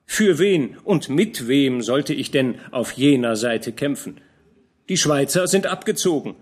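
A man voicing a proud, hot-tempered young nobleman who speaks earnestly and indignantly, with a lively voice. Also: medium pitch, a little slow, husky, voice pushed up.

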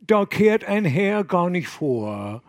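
A man reading an audiobook, voicing rough, evasive fishermen in a slow, drawling dialect. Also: high-pitched, very animated, no room sound, pitched above the performer's own voice, heavily acted. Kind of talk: audiobook character voice.

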